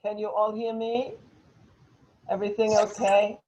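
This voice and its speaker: An older professor delivers an academic talk in English, which is not her first language. She speaks slowly and deliberately, filling her pauses with soft hesitations. The video-call audio comes through muffled and lo-fi. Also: medium pitch, flat delivery. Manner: measured, many "um" pauses. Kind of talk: academic lecture.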